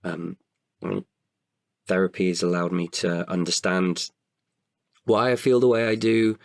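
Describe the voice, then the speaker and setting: A man, an actor, talking reflectively about himself in an interview, haltingly and with pauses mid-sentence. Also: sits low, flat delivery, creaky, dry recording, studio quality. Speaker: actor and guest writer. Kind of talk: reflective personal interview talk.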